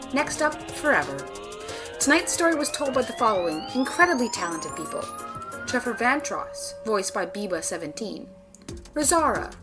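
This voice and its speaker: Woman reading show credits, highish voice, really animated, loud music underneath. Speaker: announcer reading the closing credits. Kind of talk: scripted credits narration. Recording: voice over prominent music.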